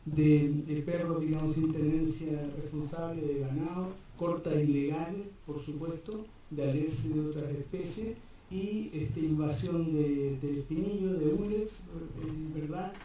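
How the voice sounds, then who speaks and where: A man giving a talk at a community forum, pausing often and restarting his sentences. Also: fairly high pitch, mellow, a little hiss or hum. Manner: hesitant, many fillers and restarts. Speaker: park defender, invited speaker. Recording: echoey hall, mic far off.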